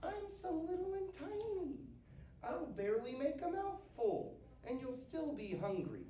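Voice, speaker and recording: A man's voice: very high voice, sing-song, a little slow, warm tone, voice pushed up, full character voice. A man voicing a tiny, clever little billy goat in a storybook tale, pleading in a small voice. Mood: pleading, sly. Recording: roomy, distant mic with faint noise.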